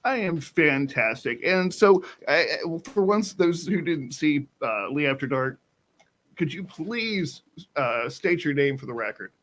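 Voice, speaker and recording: A man's voice: fairly high pitch, measured pace. A man hosting a live online talk show, opening with hype and then asking his guest questions. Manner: energetic, hype-style intro, conversational questions. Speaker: co-host.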